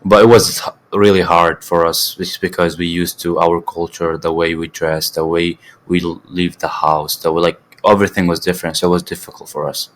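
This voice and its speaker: A teenage boy from Syria whose first language is Arabic, answering interview questions in halting English with many fillers and restarts. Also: low voice, flat delivery. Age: teens. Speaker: student guest.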